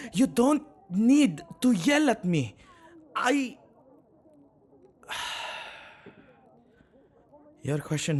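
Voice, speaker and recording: A man playing a flustered, self-neglecting male witch in an ASMR audio roleplay, speaking intimately and haltingly to the listener. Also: on the high side, darkish tone, heavily acted. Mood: flustered, defensive. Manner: hesitant, halting, with sighs and murmured asides.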